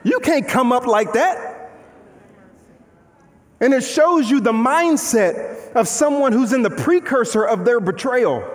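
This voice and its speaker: A young man preaching from a large church stage, with a strikingly high voice and reverb that hangs in the big hall. His delivery is animated and conversational, full of rhetorical questions, and he moves around as he talks. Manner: paces the stage and gestures while preaching. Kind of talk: sermon.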